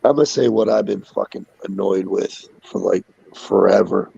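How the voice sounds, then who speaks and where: A man with a raspy, sick-sounding voice vents in a heated, profane rant. The voice is deeply gravelly and breathy. The sound is dry and muffled, with a lo-fi feel. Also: normal speed, warm tone, no echo. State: sick, hoarse. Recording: dull dry lo-fi audio.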